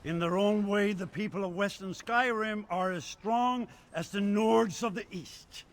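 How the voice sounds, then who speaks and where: An actor playing an earnest, proud eastern Nord leader who speaks in a reflective way in a video game cutscene. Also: male voice, sits high, a little slow, a little hiss or hum.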